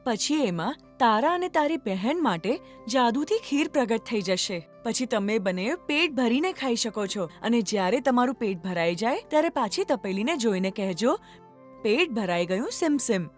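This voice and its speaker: A woman voicing a gracious, wise fairy in a children's cartoon story, with a measured, instructing manner. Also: on the high side, sing-song, faint music behind. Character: gracious, wise magical fairy granting wishes.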